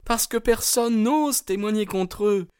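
A man's voice: high-pitched, a little slow, a little mellow, smooth, no echo, clean studio sound, voice pushed up. A man voicing an anxious young woman in love, speaking in an earnest, pleading way in an audiobook. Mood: fearful, warning. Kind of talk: audiobook character dialogue.

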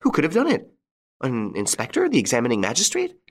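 Shrill, frantic widow bent on revenge, put on by a male narrator, pitched high above his own voice. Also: wildly swooping pitch, brisk. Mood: frenzied rage. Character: vengeful shrill middle-aged widow, furious.

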